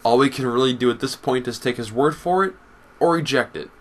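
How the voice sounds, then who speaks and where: A man delivering scripted response commentary as a YouTuber, articulate and argumentative in a measured way. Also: mid-range voice.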